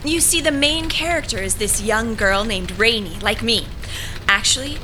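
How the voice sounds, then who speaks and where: A woman's voice: a little high, moderate pace, background noise, natural voice. A woman playing an intense, needy, eccentric would-be writer in an audio drama, with an earnest, dramatic, insistent delivery. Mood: earnest, rambling.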